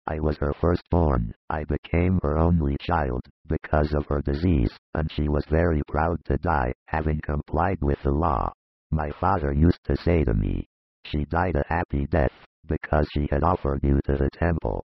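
A man's voice: moderate pace, no echo, dull audio, full character voice. A man voicing a grieving, remorseful male disciple who speaks in a heavy, brooding confession, read as an audiobook. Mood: mournful. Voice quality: very deep gravelly husky voice. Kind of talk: audiobook reading of a religious narrative.

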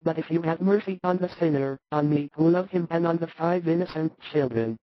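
A man voicing an anxious, devoted wife who pleads softly for her sick husband in a dramatised scripture story. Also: on the high side, fairly quick, no echo, lo-fi sound, pitched above the performer's own voice, lightly acted. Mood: anxious, pleading. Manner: soft, imploring.

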